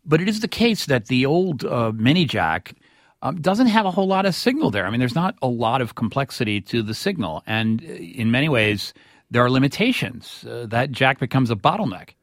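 A man presenting and interviewing as a radio host, with measured, wry and formal phrasing. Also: medium pitch, big pitch swings, dry recording, clean studio sound.

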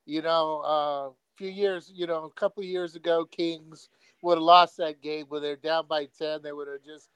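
A man calling in to a sports show, talking haltingly with lots of fillers and repeated words. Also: fairly high pitch, steady tone, fairly bright tone, smooth, dry recording. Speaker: caller. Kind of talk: phone-in chat.

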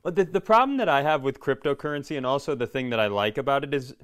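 A man's voice: medium pitch, expressive, quick pace, fairly bright tone. A man co-hosting a comedy podcast, chatting casually in a self-deprecating, rambling way. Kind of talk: casual comedic banter and announcements.

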